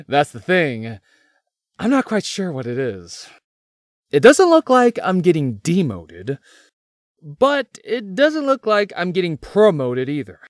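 A man's voice: fairly high pitch, huge pitch swings, takes it slowly, no room sound, studio quality, full character voice. A man playing a hardworking, teasing young best friend in a visual novel. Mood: casual, wry. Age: young adult.

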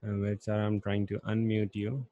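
A man's voice: on the low side, monotone, normal speed, dark tone, dry recording, highs cut off. A man in his thirties hosting an online workshop, politely calling on participants one by one. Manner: polite, brief, moves things along. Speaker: workshop host. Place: indoor room. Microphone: handheld microphone.

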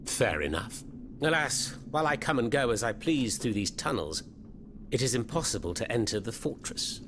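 Game role: theatrical underground French rebel, French accent, very lively intonation, clearly noisy background. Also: male voice, mid-range voice, normal speed. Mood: wry. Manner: theatrical, florid, eloquent. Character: theatrical, fervent male French revolutionary hiding underground. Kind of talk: video game cutscene acting.

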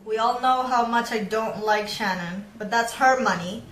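A young woman giving casual, blunt reaction commentary with swearing and an expressive way of talking. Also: fairly high pitch, normal speed. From Venezuela. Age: young adult. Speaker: reaction video host. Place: small bedroom, indoors. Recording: roomy bedroom sound, clear background noise. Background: show audio and ambient noise.

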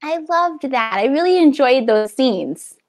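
A woman's voice: high voice, lively intonation, unhurried. A woman in her thirties with an American accent, hosting an interview over a video call in an upbeat, smiling, enthusiastic way. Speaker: library event host.

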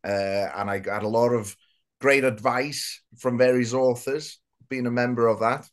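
A man's voice: medium pitch, fairly even intonation, unhurried. A man in his mid-thirties from North Wales, a native Welsh speaker with a mixed northern and southern Welsh accent, chatting casually as an interview guest on a video call. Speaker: guest author. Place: small indoor room, on a video call. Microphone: video call, webcam distance.

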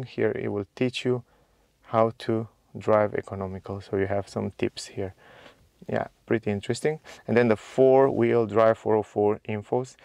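A man's voice: fairly low pitch, vocal fry, a little mellow, no echo. A man walking viewers through a car's dashboard and screen in a casual, chatty way, speaking as a with simple phrasing. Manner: chatty, addresses viewers as guys, simple non-native phrasing. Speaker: car reviewer. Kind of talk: casual walkthrough to camera. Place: inside a parked car.

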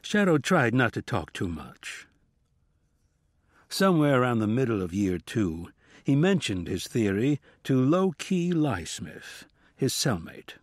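Male fiction narrator, mid-pitched, very varied intonation, measured storytelling delivery. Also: big pitch swings, no echo, clean studio sound. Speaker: audiobook narrator. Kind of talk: scripted audiobook fiction narration.

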